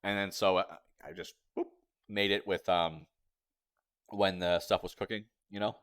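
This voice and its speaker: A young man in his twenties talks casually to his livestream chat, his voice sitting somewhat low. He rambles and circles back on himself as he answers viewers. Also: steady tone, moderate pace, a bit bright. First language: English. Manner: rambling, chatty, reads chat aloud. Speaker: streamer.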